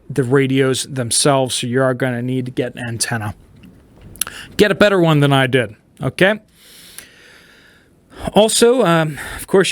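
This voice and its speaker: A man's voice: on the high side, fairly bright tone, no echo. A man hosting a casual product review, explaining gear to viewers in plain, informal layman's terms. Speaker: host of a prepper radio review channel.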